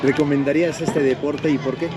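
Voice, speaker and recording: A man asking quick, casual questions in a vox-pop street interview at outdoor basketball courts. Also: fairly high pitch, steady tone. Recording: loud crowd and street noise outdoors.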